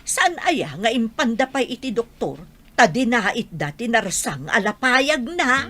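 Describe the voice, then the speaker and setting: A woman's voice: on the high side, sing-song, unhurried, music in the background. A woman playing an inquisitive village wife who gossips, in a Filipino radio drama. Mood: gossipy, surprised.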